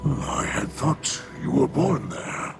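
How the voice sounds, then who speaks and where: An actor voicing a gentle, earnest alien warrior in a video game, with a gravelly growl and formal, deliberate manner. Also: male voice, medium pitch, big pitch swings, heavily acted. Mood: sincere, grateful. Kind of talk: video game cutscene acting. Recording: background music under the voice.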